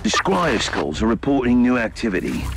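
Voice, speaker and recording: An actor playing a composed, dry advisor in a video game, explaining in a measured way, with music behind. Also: male voice, medium pitch, wildly swooping pitch, gravelly.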